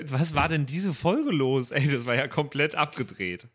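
A man's voice: fairly high pitch, expressive, a little slow, a bit bright. A man hosting a game podcast, making casual, chatty announcements to listeners. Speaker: podcast host and player.

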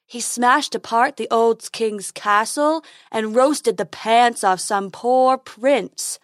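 A woman voicing a silly, stubborn male unicorn who speaks slowly and emphatically. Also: fairly high pitch, dry recording, studio quality. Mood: eager. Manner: slow, emphatic, talks about himself by name.

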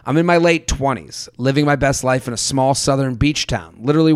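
A man hosting a podcast, reading ads and listener emails aloud in a lively, chatty way. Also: mid-range voice, smooth, no echo, studio quality. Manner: animated, reads ads with repeated promo codes. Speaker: podcast host.

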